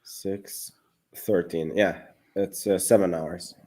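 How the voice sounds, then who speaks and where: Man, thirties, casual livestream talk, halting, false starts. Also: low voice, fairly even intonation, a little mellow. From Hungary. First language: Hungarian. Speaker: livestream co-host.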